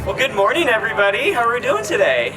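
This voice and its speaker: A man acting as emcee at an outdoor ceremony, speaking in an upbeat, rehearsed way with slow, emphatic phrasing. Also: very high-pitched, sing-song, over a noisy crowd. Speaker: company ambassador, emcee. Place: outdoor ceremony, public address. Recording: distant voice, noisy background.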